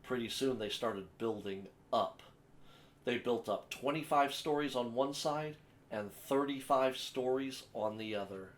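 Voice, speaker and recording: A man with a mid-pitched voice reads a children's picture book aloud. He works as a storyteller, shaping the sentences in an expressive, measured way. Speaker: storyteller, host of a story time series.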